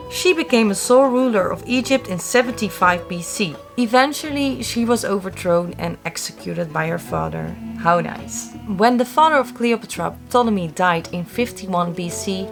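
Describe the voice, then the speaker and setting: A young woman who hosts a mystery channel, telling history in a friendly, chatty way with wry little asides. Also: mid-range voice. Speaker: YouTube host of a mystery channel. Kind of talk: casual scripted talk to camera.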